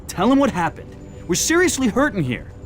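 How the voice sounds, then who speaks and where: An actor playing a brash, loyal, hot-headed resistance fighter in a video game, swaggering and sarcastic. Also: male voice, extremely high pitch, huge pitch swings, fairly quick, soft background music, full character voice. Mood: agitated. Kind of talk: video game cutscene acting.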